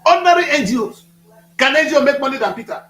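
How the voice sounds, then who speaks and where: A man's voice: extremely high pitch, expressive, quick pace, fairly bright tone, echoey room. A Nigerian man in his fifties, speaking as a studio guest with a preachy, emphatic manner, repeating phrases for effect. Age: 50s. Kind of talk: passionate political talk.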